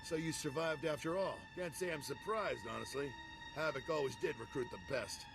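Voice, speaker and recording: An actor voicing a defiant veteran soldier turned traitor in a video game, wry, blunt and self-assured, with gravel in the voice. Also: male voice, a little high, fairly quick, music in the background, lightly acted. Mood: wry, amused. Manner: cocky, sardonic, blunt.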